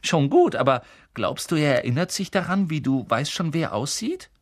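A man voicing a pair of joking teenage twin brothers, loud and teasing. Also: on the high side, very animated, normal speed, no echo, studio quality, voice pushed up.

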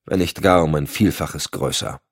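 A man's voice: very deep voice, moderate pace, no echo, studio quality. Man acting a curt, commanding agency chief. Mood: cool, controlled. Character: commanding male agency chief, curt, sardonic, easily irritated. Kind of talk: audiobook dialogue.

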